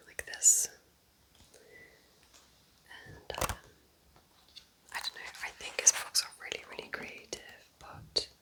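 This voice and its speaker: A woman whispering gently and unhurriedly in an ASMR style, rambling softly as she recommends things close to the microphone. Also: rough recording. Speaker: ASMR host playing a kind witch recommending books.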